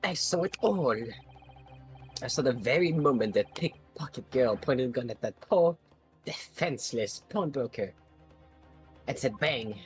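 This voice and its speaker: A man's voice: medium pitch, lively intonation, a little slow, pauses often, faint music behind, lightly acted. A man voicing a smug, gloating witness in a video game courtroom, speaking theatrically and with relish. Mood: accusing, dramatic. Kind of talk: fan dub of a video game.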